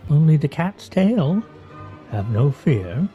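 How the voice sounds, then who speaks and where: A man's voice: on the high side, sing-song, slow, muffled recording, soft background music. A man playing a wry, theatrical husband in a comic radio play, speaking in a pompous, mock-formal way. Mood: wry, teasing. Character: wry, theatrical, teasing husband with grandiose fantasies. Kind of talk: stage radio play comedy acting.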